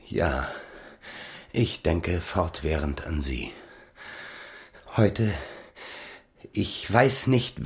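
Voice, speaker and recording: A smooth scheming lover in a radio play, very low voice, swoopy and dramatic. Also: male voice, heavily acted. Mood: ardent. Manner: wooing, dramatic pauses, rhetorical. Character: smooth, calculating male seducer, ardent and theatrical.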